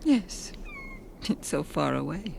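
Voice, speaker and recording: A woman's voice: low-pitched, very animated, takes it slowly, creaky, darkish tone, slight background noise, heavily acted, poor audio. A woman playing a long-suffering, middle-aged working mother in a radio drama, narrating and speaking in scenes. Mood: sorrowful.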